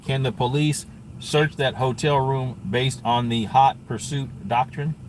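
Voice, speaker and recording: A man's voice: mid-range voice, steady tone, measured pace. A man giving casual live-stream commentary on police scanner calls, conversational and thinking aloud. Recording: faint ambient vehicle and scanner noise.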